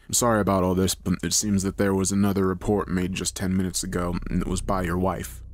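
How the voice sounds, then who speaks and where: Man playing a stern, gruff police officer. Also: low-pitched, level tone, fast talker, close to an everyday voice. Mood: stern, official. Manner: growling, formal, demanding.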